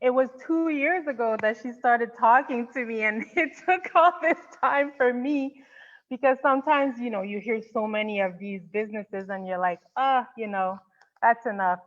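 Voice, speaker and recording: A woman's voice: fairly high pitch, moderate pace, a bit bright, lo-fi sound. A woman hosting an interview in a casual, gushing way, full of fillers like "you know". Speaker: interview host.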